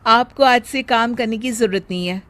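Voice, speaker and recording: Woman acting a harried young working daughter-in-law, high-voiced. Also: moderate pace. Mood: gentle, apologetic. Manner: exasperated, expressive, emphatic.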